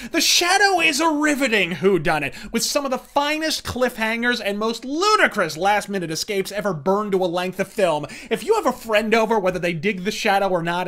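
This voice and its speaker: A man's voice: high voice, bright, forceful tone. A man hosting a review show, delivering a scripted rant in a bombastic, profane manner full of florid wordplay. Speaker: host of a comics and film review show.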